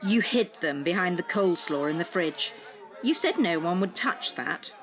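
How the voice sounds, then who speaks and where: An actress playing a frank, matter-of-fact elderly care-home resident in an audio drama. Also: female voice, lowish voice, expressive, moderate pace, faint music behind.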